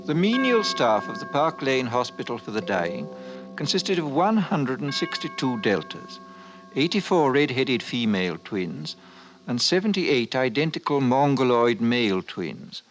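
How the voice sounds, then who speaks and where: A man's voice: medium pitch, very animated, a little slow, music in the background, poor audio. A man giving grave scripted narration in the manner of a fifties radio drama.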